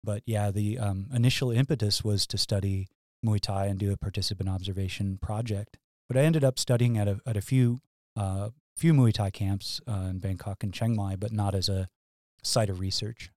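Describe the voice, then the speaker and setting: A man from Wisconsin, a guest scholar, telling his story in a relaxed way with fillers and chuckles. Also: on the low side, moderate pace, dry recording, studio quality. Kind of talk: casual academic interview.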